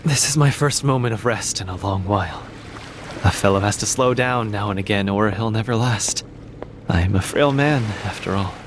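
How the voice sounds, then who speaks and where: An actor playing a composed, courteous leader in a video game dub, with formal, measured diction. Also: male voice, lowish voice, noisy background, natural voice. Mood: wry, reflective.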